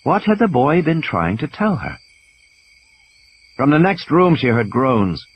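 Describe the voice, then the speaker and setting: A man's voice: on the high side, big pitch swings, a little slow, dull audio. A man reading scripted dramatic narration as the storyteller of an audiobook. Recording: clear background hiss and noise.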